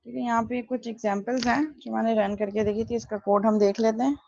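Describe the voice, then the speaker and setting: A woman's voice: on the high side, flat delivery, quick pace, creaky, warm tone, no room sound, dull audio. A woman teaching programming in an explanatory, step-by-step way, often asking a question and then answering it herself, mixing in English technical terms. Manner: didactic, step-by-step, poses and answers own questions. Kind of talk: explanatory online coding lesson, Hindi-Urdu mixed with English terms.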